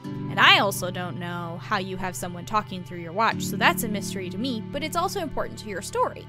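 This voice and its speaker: A woman playing an earnest, patient small-town reporter in an audio drama, explaining things in a measured, reflective way. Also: medium pitch, expressive, music in the background, close to an everyday voice. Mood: brisk, explaining. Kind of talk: audio drama dialogue, naturalistic.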